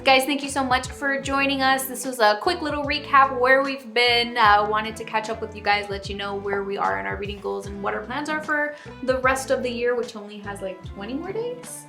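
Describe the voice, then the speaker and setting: A woman's voice: a little high, moderate pace, hardly any pauses. Woman chatting casually in a roomy, echoey space. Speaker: book vlog co-host. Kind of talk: casual book chat. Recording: roomy echo, faint music behind.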